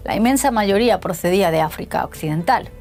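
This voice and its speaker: A woman giving scripted documentary narration in a clear, even, polished way. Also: medium pitch, quick pace, faint music behind.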